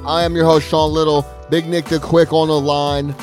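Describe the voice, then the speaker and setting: A man from Chicago hosting a casual sports talk podcast over a call, hyped up, slangy and quick to laugh. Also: a little high, flat delivery, darkish tone, soft background music. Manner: hype, slangy, laughs often. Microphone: remote call.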